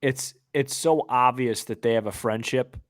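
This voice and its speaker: A man chats casually as a co-host on a sports podcast. His voice sits at a middling pitch in a dry recording. He doubles back on phrases and keeps checking that he is understood. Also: normal speed, no echo. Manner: repeats words, checks in with "you know what I'm saying".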